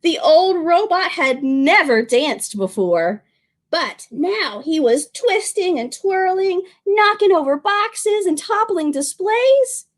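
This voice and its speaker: A woman reading a picture book aloud as a children's librarian, expressive, drawing out words and speaking to young listeners. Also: very high voice, takes it slowly.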